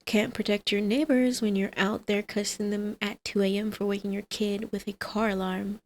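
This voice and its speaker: A woman's voice: medium pitch, little pitch movement, normal speed, slight background noise, lightly acted. A woman playing a brazen, scheming supervillain mother in an ASMR roleplay, teasing, smug and coaxing.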